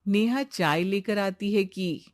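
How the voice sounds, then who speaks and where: A woman's voice: medium pitch, slow pace, no echo, studio quality. A woman reading scripted storybook narration as the narrator of a cartoon tale.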